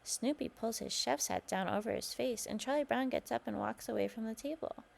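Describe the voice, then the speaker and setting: A woman's voice: a little high, a little fast, vocal fry. A woman reading a children's storybook aloud as scripted narration.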